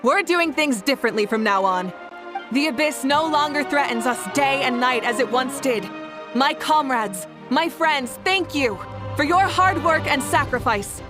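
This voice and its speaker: A woman voice actor playing a confident, warm and commanding leader in a video game, speaking with measured assurance. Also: high voice, expressive, over music. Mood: rousing, solemn.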